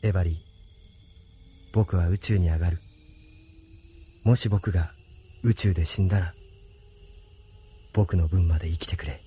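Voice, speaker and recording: A man playing an earnest, resolute young space pilot in a Japanese anime audio drama, speaking gravely and sincerely. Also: sits low, steady tone, a little slow, pauses often, warm tone, heavily acted. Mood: solemn, tender. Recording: studio drama with background music.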